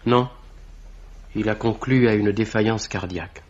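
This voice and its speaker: An actor playing a young amateur investigator in a French radio mystery, putting questions in a measured, insistent way. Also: male voice, fairly low pitch, natural voice. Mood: probing. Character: young amateur investigator, probing and level-headed. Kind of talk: French 1950s-style radio mystery acting. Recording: dull vintage radio-drama recording.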